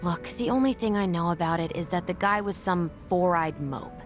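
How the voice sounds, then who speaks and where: An actress playing a blunt, impatient young ex-nurse in a video game, with a dismissive, slangy way of talking. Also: female voice, on the low side, moderate pace, soft background music, natural voice. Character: brusque, impatient young ex-nurse, flippant pachinko fanatic. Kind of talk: dramatic game cutscene acting.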